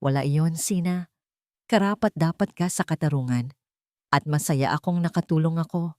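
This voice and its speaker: A woman's voice: deep voice, normal speed, dark tone, dry recording, studio quality, close to an everyday voice. A woman voicing a brooding man who craves justice in a dramatic love story. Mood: warm. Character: brooding, bitter man hungry for justice and revenge.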